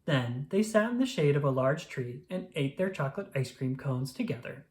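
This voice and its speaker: A narrator reading a children's story aloud in an expressive, scripted read-aloud style. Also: mid-range voice, normal speed, slight background noise.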